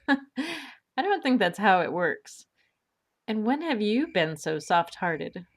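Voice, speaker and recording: Level-headed swashbuckling partner, played by a woman: dry, bookish, skeptical, unhurried and exact in audio drama. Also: mid-range voice, big pitch swings, heavily acted. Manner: precise, dry, measured. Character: sensible, bookish woman adventurer, dryly skeptical.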